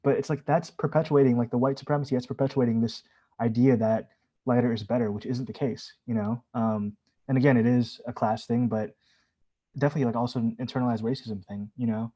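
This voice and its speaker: A man with a soft, dark, mellow tone and mid-range pitch speaks. He is conversational and earnest, as in a casual group discussion. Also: steady tone, fairly quick, lo-fi sound. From family from the Philippines.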